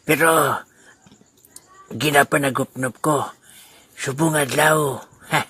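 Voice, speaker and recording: A man's voice: on the high side, steady tone, takes it slowly, sharp tone, breathy edge, no echo, exaggerated voice. A man playing a sly old man who hides his food in an amateur audio drama, chuckling and hemming often. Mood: scheming. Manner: mischievous, chuckling, hesitant fillers.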